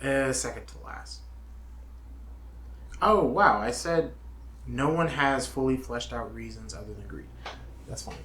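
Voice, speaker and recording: Man, slow halting delivery, opinionated, emphatic, casual review talk, roomy echo. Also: medium pitch, fairly bright tone, slight background noise. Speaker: show co-host. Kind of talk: casual movie review discussion, partly reading from notes.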